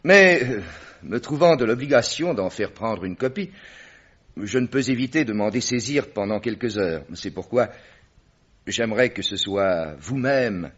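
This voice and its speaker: An actor playing an authoritative government minister who speaks in a commanding, confidential manner in a vintage radio drama. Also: male voice, lowish voice, expressive, unhurried, fairly bright tone, slightly rough, exaggerated voice. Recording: dull old radio recording.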